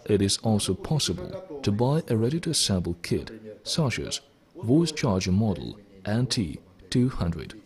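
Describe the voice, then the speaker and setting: Low-voiced man presenting, lively intonation. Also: a little slow. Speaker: presenter of electronics kit videos. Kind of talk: scripted instructional narration.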